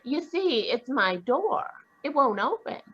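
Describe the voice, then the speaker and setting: A woman voicing a brisk, independent, sharp-tongued spinster in a live radio drama, with spirited, indignant retorts. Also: sits high, very animated, husky, full character voice. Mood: flustered. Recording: dull lo-fi video call audio.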